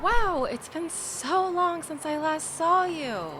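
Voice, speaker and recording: A woman playing a shaken, chatty young woman in an intimate audio roleplay, rambling with wry asides and breathy sighs as she confides in the listener. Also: extremely high pitch, slow, heavy background noise. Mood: anxious, pleading. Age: young adult. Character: shaken, chatty young woman escaping a bad date, self-deprecating and grateful.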